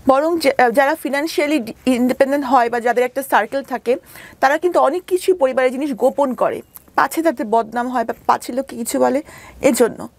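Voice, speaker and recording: A woman with a Bangladeshi accent giving a candid, personal account in a radio interview, halting at times and re-explaining herself as she goes. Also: sits high, no room sound. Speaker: interview guest telling her life story; doctor. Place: radio studio.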